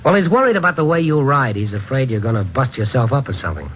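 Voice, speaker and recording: Actor as wry radio-drama investigator, muffled old recording. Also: male voice, mid-range voice, very animated, fast talker, a little hiss or hum, natural voice. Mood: casual, uneasy. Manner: wry, colloquial. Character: world-weary male investigator narrating his own tale, easygoing. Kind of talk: old-time radio drama acting and narration.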